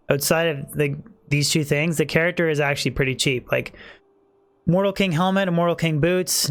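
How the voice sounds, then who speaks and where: A man's voice: fairly high pitch, fairly bright tone, clear, resonant tone. A man streaming a game live, chatting casually with his viewers while he plays; he says he is losing his voice. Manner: chatty, talks to chat viewers, many fillers.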